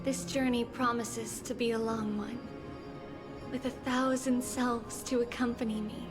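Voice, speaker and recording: Casting: woman voicing young priestess heroine for a fantasy game, high voice, measured delivery, poised and lonely, music beneath. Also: little pitch movement, close to an everyday voice. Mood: solemn, determined. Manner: formal, earnest, poised. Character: solemn young holy maiden, lonely and resolute. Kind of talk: scripted video game cutscene acting. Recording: music behind the voice.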